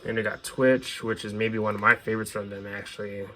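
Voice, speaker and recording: A man's voice: lowish voice, level tone, fairly quick, background noise. A man hosting a casual show-and-tell, talking in an informal, opinionated fan's way as they go through their collection. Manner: casual, opinionated fan talk. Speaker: host presenting their music collection.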